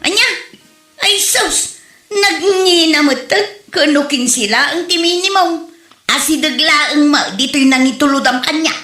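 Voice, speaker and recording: Woman acting a sassy, shrill-bright demanding passenger. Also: unhurried. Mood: indignant. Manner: bossy, indignant. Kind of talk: comedy radio drama acting. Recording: roomy echo, music behind.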